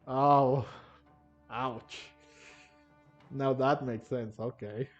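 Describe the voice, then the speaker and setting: A man giving casual gameplay commentary, halting and often repeating or rephrasing himself. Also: mid-range voice, fairly even intonation. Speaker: gamer narrating a playthrough. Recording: muffled lo-fi mic, game music behind. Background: video game music.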